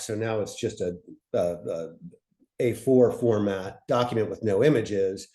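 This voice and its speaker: Mellow man explaining in an informal talk. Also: lowish voice. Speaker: panelist, fiction podcast director.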